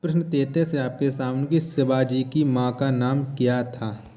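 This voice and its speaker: A man with a mid-pitched, mellow voice works through a practice quiz as a coaching teacher. He poses each question, asks it again, then states and repeats the answer. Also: level tone, normal speed.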